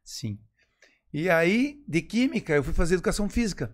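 A middle-aged man with a Florianópolis accent, a podcast guest telling stories in a playful, joking way, recorded in a small studio on a boom mic. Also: big pitch swings, normal speed. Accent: Florianópolis Portuguese. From Florianópolis, Brazil. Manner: playful, jokey, reflective asides. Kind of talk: casual storytelling. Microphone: headset with boom mic.

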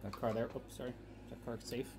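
A woman's voice: extremely low pitch, steady tone, a little fast, creaky. A woman talking casually and playfully to a pet, teasing it with questions and repeated phrases. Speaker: pet owner filming a parrot. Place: indoors in a wood-paneled room at home. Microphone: handheld camera or phone behind the lens. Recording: noisy home recording with animal sounds.

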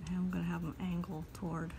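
A woman calmly talking through a step-by-step drawing lesson as she sketches at a table. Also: on the low side, slight background noise. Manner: calm, unhurried, talks while drawing. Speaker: drawing instructor. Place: at a table indoors.

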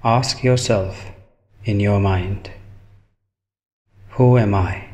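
A man leading a guided meditation in a calm, measured manner, speaking in short phrases with long pauses. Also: lowish voice.

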